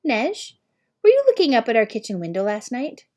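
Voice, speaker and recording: A woman's voice: fairly high pitch, huge pitch swings, fairly quick, darkish tone. Woman reading as a polite, hesitant young boy. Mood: earnest, unsure. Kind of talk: storybook character dialogue.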